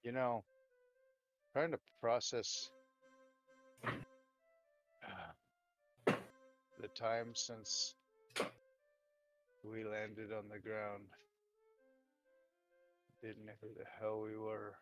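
A man playing a weary, devout, folksy dwarf warrior in an improvised audio drama, rambling and plain-spoken in a halting way. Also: on the low side, monotone, fairly bright tone. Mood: earnest, resolved. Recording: dull lo-fi audio, music behind.

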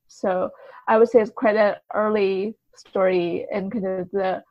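A woman's voice: medium pitch, steady tone, unhurried. Woman guest, slightly dull lo-fi sound. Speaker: podcast guest, writer and translator. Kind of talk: conversational interview answers.

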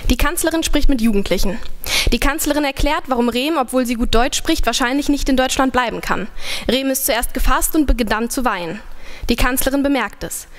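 A young woman on a large dark stage, speaking into a stand mic at a poetry slam: chatty and halting at first, then reading her text in an earnest, ironic tone. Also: high voice. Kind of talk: spoken word performance.

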